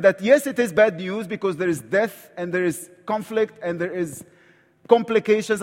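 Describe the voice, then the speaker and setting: A man with an Egyptian accent preaches in a church sanctuary, his voice sitting fairly high for a man. He speaks earnestly, often repeating a word as he reaches for the next phrase. The sound rings out with the long reverb of a large hall. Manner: earnest, repeats words while searching for phrasing. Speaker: visiting preacher. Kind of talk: sermon with prayer.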